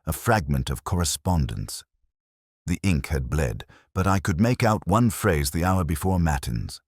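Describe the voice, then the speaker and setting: Very deep, husky male narrator. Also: dry recording, studio quality. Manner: measured, wry, reflective, formal. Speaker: first-person narrator of a detective story. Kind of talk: scripted literary narration.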